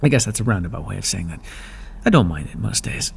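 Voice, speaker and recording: A man's voice: sits low, sing-song, quick pace, vocal fry, husky, full character voice. A man playing an aloof, lonely god of the underworld in an ASMR roleplay, talking in a dry, languid and sardonic way.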